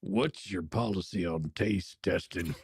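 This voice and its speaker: A woman voicing a cocky, flirtatious male chef with a low, husky, intimate drawl in an audio romance drama. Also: a little mellow, no room sound, heavily acted. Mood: teasing. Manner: slow, intimate, suggestive drawl.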